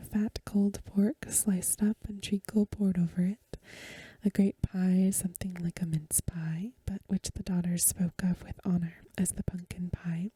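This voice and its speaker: A woman reads a story aloud in a hushed, breathy, mostly whispered ASMR style, unhurried and soothing, close to the microphone. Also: medium pitch, dry recording, low-quality recording. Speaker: ASMR reader and host. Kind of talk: soft-spoken ASMR reading of a Victorian story.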